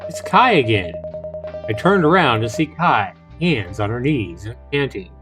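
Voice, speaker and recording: Slow-talking man reading aloud on a livestream. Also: medium pitch, lively intonation, over music. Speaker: livestream host. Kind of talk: reading a visual novel aloud with casual asides to viewers.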